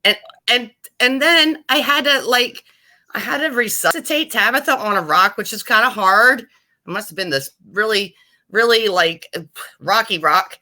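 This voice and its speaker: A woman's voice: a little high, normal speed, bright, forceful tone. A woman improvising a silly story with a friend, playful and rambling.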